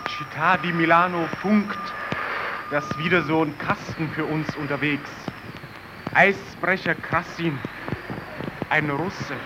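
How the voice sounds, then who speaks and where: An actor playing a worn-out, excitable stranded radio operator in a German radio play, repeating calls and mixing in Italian words. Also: male voice, a little high, slow pace, long pauses. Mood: weary, insistent. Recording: noisy old radio drama recording.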